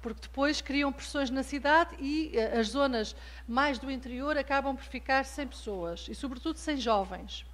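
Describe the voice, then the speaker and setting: Portuguese-accented female keynote lecturer, high voice, measured delivery with many fillers. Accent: European Portuguese. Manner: measured, with frequent hesitant fillers. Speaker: guest lecturer. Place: lecture hall.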